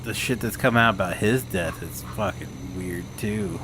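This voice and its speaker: A man's voice: on the low side, moderate pace. A man with a slight Southern drawl, chatting casually while gaming, swearing easily and laughing often. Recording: steady background noise.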